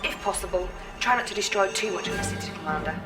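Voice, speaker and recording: A woman playing a calm military intelligence officer in a video game, briefing in a formal, precise way. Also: medium pitch, fast talker, recorded from a distance, close to an everyday voice. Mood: composed, encouraging. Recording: game audio with music behind.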